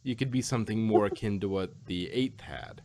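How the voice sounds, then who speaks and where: A man chatting casually as a podcast host, rambling and halting, often repeating himself as he gives his opinions. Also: fairly low pitch, a little mellow. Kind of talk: casual opinionated fan chat.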